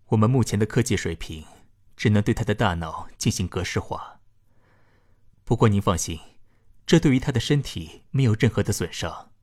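Official doctor, lowish pitch, expressive intonation, measured, formal, explanatory, audio drama. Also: male voice. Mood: calm, clinical. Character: dutiful male doctor, calm, official, politely firm.

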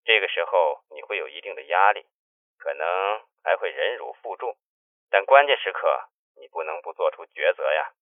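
A man voicing a grave, secretive sworn brother giving hushed, urgent warnings through a telephone filter in an audiobook. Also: deep voice, animated, moderate pace, dry recording. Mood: grave, confiding. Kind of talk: audiobook character dialogue. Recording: narrow tinny phone-line sound.

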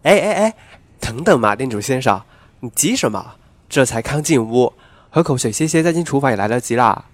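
A man's voice: mid-range voice, expressive, fairly quick, natural voice. A man playing a playful, lovestruck young male customer in an audio drama, with an eager, coaxing manner. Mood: playful, teasing. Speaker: voice actor in an amateur radio drama. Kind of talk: Chinese audio drama acting.

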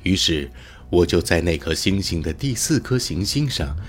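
A man acts out an ancient wandering star god with an extremely low voice. He is wistful and unhurried, speaking in radio-drama style over music. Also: very animated. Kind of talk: Mandarin audio drama acting.

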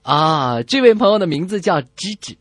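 A woman co-hosting a comedy radio show, trading scripted banter in an animated, bickering manner. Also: on the low side, moderate pace, dry recording, clean studio sound. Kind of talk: scripted comic banter between radio hosts.

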